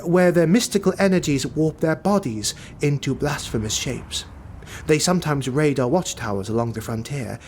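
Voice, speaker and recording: A man's voice: fairly high pitch, darkish tone, slight background noise, voice pushed up. A man voicing a haughty, easily offended knight who serves a lord in a fantasy audiobook, arch and indignant while still deferential.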